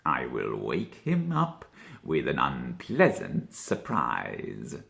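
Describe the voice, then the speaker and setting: A man voicing a vindictive, fussy fox gentleman from a storybook, muttering slowly with grumbling menace. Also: a bass voice, huge pitch swings, vocal fry, voice pushed down, full character voice. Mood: scheming menace.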